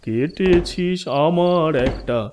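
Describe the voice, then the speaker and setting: A man voicing a vengeful one-legged bear in a Bengali fairy-tale cartoon, with a menacing, drawn-out delivery. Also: high voice, a little mellow, slight background noise. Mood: menacing chant.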